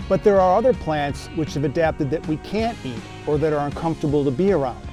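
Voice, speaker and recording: A man hosting a gardening show, giving steady, scripted, explanatory narration. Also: fairly high pitch, fairly quick, music in the background.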